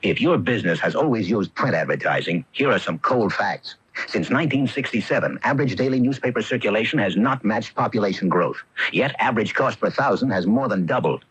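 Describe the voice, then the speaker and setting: A man's voice: on the low side, animated, brisk, a bit bright, breathy edge, dry recording, poor audio. A man reading a scripted radio promotional spot in emphatic, punchy phrases. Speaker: advertising announcer.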